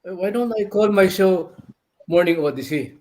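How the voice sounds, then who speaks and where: An older man with a Filipino accent telling stories in a casual chat as a radio veteran, animated and often repeating his phrases. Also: sits high, normal speed. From Philippines. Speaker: guest, veteran radio DJ and record store owner.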